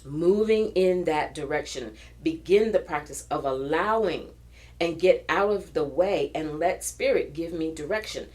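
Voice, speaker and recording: A low-pitched woman reads tarot cards in an earnest, encouraging way. Her voice carries a slight early-morning rasp, and she often restarts her phrases as she reaches for spiritual wording. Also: a little mellow. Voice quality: raspy. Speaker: tarot reader. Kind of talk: pick-a-card tarot reading.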